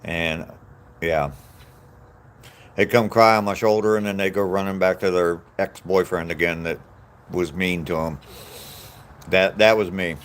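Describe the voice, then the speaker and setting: A man's voice: sits low, steady tone, measured pace, pauses often. A man in his sixties from the United States, chatting casually with live viewers while out on a walk, reminiscing in an easygoing way and chuckling often. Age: 60s. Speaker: vlogger answering live chat. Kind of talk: casual rambling chat. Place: outdoors on a walk.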